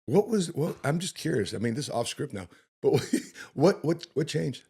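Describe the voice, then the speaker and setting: A man's voice: fairly high pitch, very animated, normal speed, vocal fry, warm tone, husky. A man who grew up in Chicago, hosting an interview, asking questions with many restarts and frequent laughs. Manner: stammers on restarts, laughs often. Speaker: podcast host. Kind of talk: casual interview.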